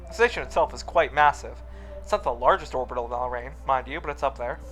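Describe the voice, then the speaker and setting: A man's voice: medium pitch, quick pace, fairly bright tone, lightly acted. A man voicing a cheerful, scholarly male furry alien scientist in a visual novel, speaking politely and precisely. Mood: explanatory. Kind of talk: visual novel character reading. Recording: music under the voice.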